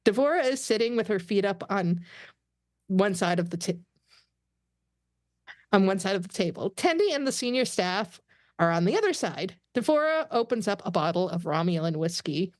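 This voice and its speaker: A woman narrates screen directions at a table read. Her voice is mid-pitched, recorded close and dry with little room sound. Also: big pitch swings, normal speed, no room sound. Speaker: narrator reading stage directions.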